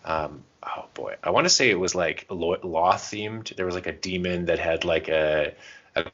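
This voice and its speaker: A man with a very low voice talks casually as a podcast co-host. He explains things informally, slipping into little asides and stories. The sound is somewhat dull and lo-fi. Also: moderate pace, a bit bright. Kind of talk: casual chat giving gaming advice. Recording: dull, muffled lo-fi podcast audio.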